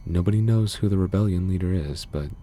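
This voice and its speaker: Casting: male actor as mysterious sci-fi ASMR doctor, extremely low and mellow, soothing, measured, guarded. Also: slight background noise, natural voice. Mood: probing, guarded. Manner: gentle, measured, formal, reassuring. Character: mysterious, calm, guarded underworld cyberpunk doctor, man. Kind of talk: ASMR roleplay, soothing scripted monologue.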